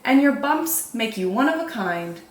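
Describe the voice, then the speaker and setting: Woman voicing an excited little girl, roomy sound. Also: a little high, moderate pace, far from the mic. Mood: tender. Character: excited, kind little girl picking pumpkins.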